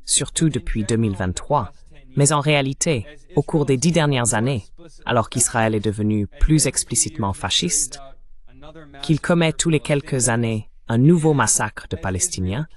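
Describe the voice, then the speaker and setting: A man delivering a voice-over translation of political commentary in a measured, explanatory style. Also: mid-range voice, lively intonation.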